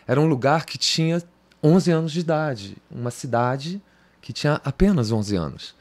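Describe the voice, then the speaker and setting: Man, 50s, from Brazil, podcast guest, rambling with restarts, slangy tags, close studio boom mic. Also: lively intonation, normal speed. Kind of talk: casual interview talk. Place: home music studio, indoors. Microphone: studio mic on boom arm.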